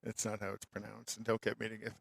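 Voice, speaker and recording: A man's voice: fairly low pitch, monotone, quick pace, gravelly, slightly rough, dry recording. An American man co-hosting a radio show in casual, bantering conversation.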